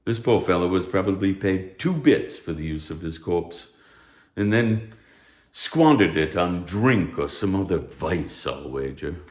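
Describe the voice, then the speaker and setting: An actor playing a stern, pompous anatomy professor, speaking formally and like a lecturer in a theatrical read. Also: male voice, sits low, expressive, measured pace, roomy sound. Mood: grim, haughty. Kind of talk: theatrical table read of a stage musical.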